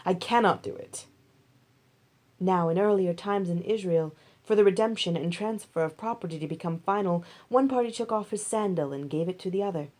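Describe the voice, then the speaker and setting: A woman's voice: on the low side, fairly quick, hardly any pauses, darkish tone. A woman reading scripture aloud as a storyteller, as in a recorded audiobook. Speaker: audiobook reader.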